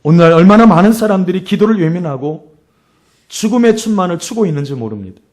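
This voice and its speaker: A middle-aged man with a hoarse voice preaching a sermon as a pastor, telling stories and exhorting with emphatic repetition. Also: high voice, animated, quick pace, a little mellow.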